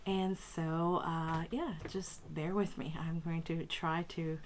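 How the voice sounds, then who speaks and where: A woman recovering from a cold with a strained voice, speaking haltingly as a tarot reader talking casually to her viewers. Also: low voice, flat delivery, a little hiss or hum. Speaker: tarot reader and channel host. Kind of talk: casual spiritual talk to camera.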